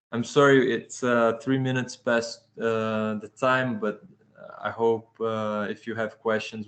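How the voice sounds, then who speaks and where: Male presenter, unhurried, monotone, lots of hesitations. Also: fairly low pitch. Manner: halting, many fillers and restarts. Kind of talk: webinar presentation.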